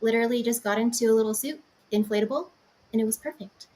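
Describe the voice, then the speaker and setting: A woman's voice: on the high side, quick pace, dark tone. A woman hosting a podcast, upbeat and welcoming in casual chat. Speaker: podcast host.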